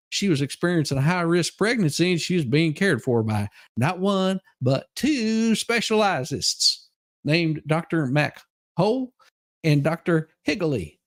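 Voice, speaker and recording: A man giving a comedic dramatic reading of a legal document, theatrical and folksy, with mocking asides. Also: fairly high pitch. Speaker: streamer performing a dramatic reading.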